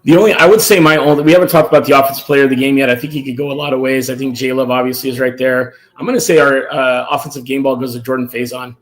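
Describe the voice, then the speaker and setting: A man hosting a casual fan live stream, excited and a little hoarse from cheering, reading out viewer comments. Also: medium pitch, rapid-fire, hardly any pauses, poor audio. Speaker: fan show host.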